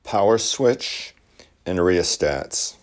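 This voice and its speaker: A man giving a measured, matter-of-fact instructional narration in short phrases, demonstrating vintage equipment. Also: low-pitched, animated. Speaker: collector demonstrating vintage radios.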